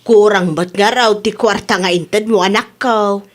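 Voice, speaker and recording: A woman's voice: medium pitch, fairly even intonation, a little slow, close to an everyday voice. A woman with an Ilocano accent playing a vain, stubborn, playful old mother in a radio comedy drama, coy and defensive.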